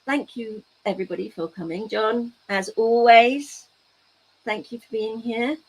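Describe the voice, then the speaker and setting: Playful woman hosting a slow crafting stream. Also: on the high side, slightly rough, low-quality recording. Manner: playful, teasing asides to chat. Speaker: crafting livestream host. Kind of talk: casual chat while crafting, reading out chat.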